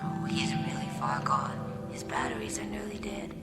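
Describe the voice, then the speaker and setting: A woman playing a cute, coaxing little girl in a radio drama, giving gentle, breathy commands. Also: medium pitch, wildly swooping pitch, normal speed, in a whisper. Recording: long reverb, music behind.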